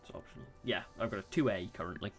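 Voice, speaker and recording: A man's voice: on the low side, rapid-fire, fairly bright tone, faint music behind. A man streaming a space game, chatting casually, reading chat aloud and laughing often.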